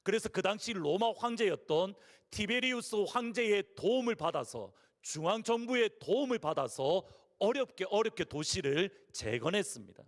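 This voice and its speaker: A man with a Gyeongsang (Busan) accent preaching a Sunday sermon as pastor. His voice is hoarse, and he tells stories earnestly and asks the congregation rhetorical questions. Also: high voice, animated, normal speed, few pauses. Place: large church sanctuary. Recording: long reverb of a church hall.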